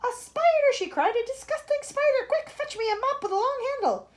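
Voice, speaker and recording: A woman voicing a prim, emotional female spider in a storybook reading, in a breathy, dramatic manner. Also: sits high, sing-song, moderate pace, pitched above the performer's own voice. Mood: tearful.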